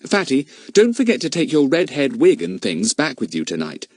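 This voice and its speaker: A man's voice: mid-range voice, wildly swooping pitch, moderate pace, a little mellow, dry recording, studio quality, pitched above the performer's own voice. A man voicing a sensible older boy who gives practical instructions.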